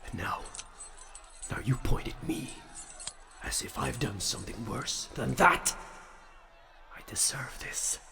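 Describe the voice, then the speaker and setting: A man playing a bitter, proud war-veteran soldier in a dark fairytale, with a gravelly, seething, contemptuous delivery. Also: medium pitch, animated, takes it slowly, pauses often, far from the mic, exaggerated voice. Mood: seething contempt. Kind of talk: dramatic fairytale audio acting.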